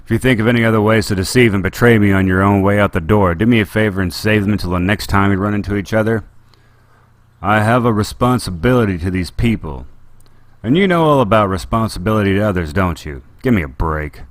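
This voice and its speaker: A man's voice: fairly low pitch, moderate pace, hardly any pauses, no echo, natural voice. A man voicing a brash, protective male smuggler captain who speaks bluntly and firmly. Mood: angry. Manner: blunt, gruff, firm.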